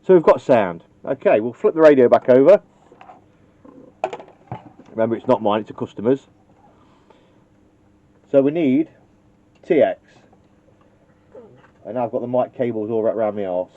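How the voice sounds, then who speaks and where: A man's voice: mid-range voice, animated, moderate pace, pauses often, slight background noise. A man casually demonstrating how to wire a radio, thinking aloud and joking at his own expense. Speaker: CB radio technician. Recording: dull lo-fi recording.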